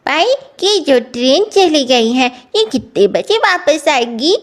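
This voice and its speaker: A woman's voice: high voice, very animated, takes it slowly, heavily acted. A woman voicing an earnest, anxious young village boy with childlike, plaintive delivery in a moral story cartoon.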